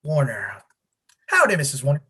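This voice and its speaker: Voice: medium pitch, huge pitch swings, moderate pace, sharp tone, husky, full character voice. An amateur actor playing a folksy, businesslike village man in charge, with a hesitant manner. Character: folksy, businesslike male village official running the lottery. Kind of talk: amateur one-act play acting. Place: filmed on a video call.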